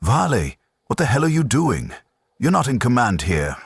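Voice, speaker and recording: A man voicing a gruff, wary male sergeant with a blunt delivery in a narrated war story. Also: medium pitch, sing-song, normal speed, no echo, studio quality, pitched above the performer's own voice, exaggerated voice. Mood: angry, suspicious.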